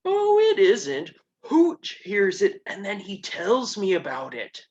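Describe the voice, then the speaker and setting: A comedian voicing a lovable old dog owner in a comedy radio play, with a folksy, drawling manner. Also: male voice, sits high, wildly swooping pitch, takes it slowly, muffled recording, voice pushed up, exaggerated voice.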